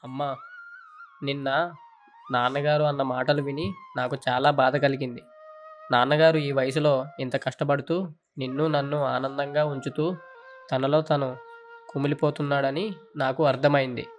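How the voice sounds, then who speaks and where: Casting: man as earnest, downcast young musician son for a kids' cartoon, mid-pitched with flat intonation. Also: moderate pace, long pauses, faint music behind, natural voice. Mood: sad, earnest. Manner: earnest, sorrowful. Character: dejected, dutiful young adult son, a musician. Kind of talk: Telugu children's cartoon dialogue.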